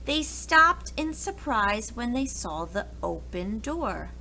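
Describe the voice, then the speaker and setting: A woman reads a picture-book tale to young children in a highly expressive, bright voice. Her phrasing is slow, dramatic and deliberate, over faint background noise. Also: vocal fry. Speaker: preschool read-aloud storyteller. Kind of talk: expressive children's story narration.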